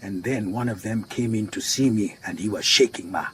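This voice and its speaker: An actor playing a worried, earnest garage owner in a radio drama, with a Southern African accent, speaking gravely and deliberately. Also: male voice, fairly low pitch, slight background noise, close to an everyday voice. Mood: grave, shaken. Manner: grave, deliberate, repeats phrases for emphasis.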